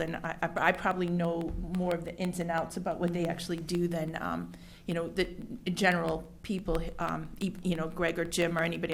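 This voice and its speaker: Gravelly-voiced woman administrator. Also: on the low side, slight background noise. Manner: enthusiastic, many fillers. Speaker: school district administrator. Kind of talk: meeting presentation.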